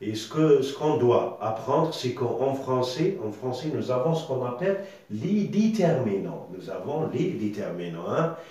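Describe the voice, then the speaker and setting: A man whose first language is Arabic, teaching a slow, deliberate grammar lesson, repeating words and checking that the class follows. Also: medium pitch, big pitch swings, dark tone. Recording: echoey room, far from mic.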